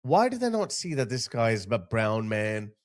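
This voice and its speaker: A man's voice: big pitch swings, normal speed. A man from Pakistan giving emphatic, sarcastic opinion commentary. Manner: emphatic, sarcastic, big pitch swings.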